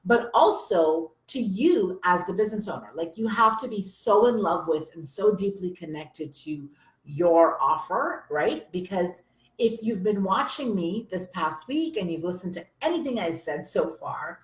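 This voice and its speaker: A hoarse woman with a summer cold, a business coach chatting energetically to viewers on a live stream. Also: lowish voice, measured pace, reverberant, rough recording. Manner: energetic, chatty, addresses viewers as you guys.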